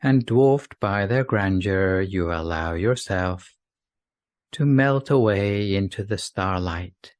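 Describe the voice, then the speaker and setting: Slow, low-voiced man guiding sleep meditation. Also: dry recording, studio quality. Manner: soothing, unhurried, gentle, with long pauses. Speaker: meditation guide. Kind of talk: scripted guided sleep meditation.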